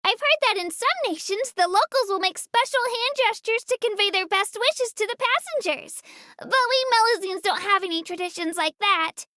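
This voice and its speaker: A woman's voice: extremely high pitch, animated, moderate pace, sharp tone, dry recording, studio quality, exaggerated voice. A woman voicing a cheerful, dutiful little female creature who works as a tour guide in a video game, speaking in a childlike, polite and earnest way. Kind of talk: scripted game character announcements.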